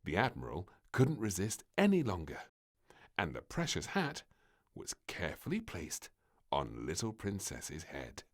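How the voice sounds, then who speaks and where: A man narrating a children's story from a script, with expressive, deliberate phrasing. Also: deep voice.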